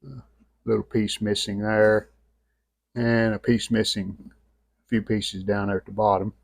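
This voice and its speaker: A man giving an unscripted hands-on restoration walkthrough in plainspoken, halting short phrases. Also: fairly low pitch, level tone, gravelly. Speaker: radio restorer presenting own channel.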